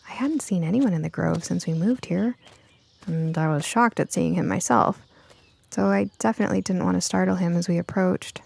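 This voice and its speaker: A woman reading scripted first-person story narration for an audiobook in an expressive storytelling manner. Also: mid-range voice, vocal fry.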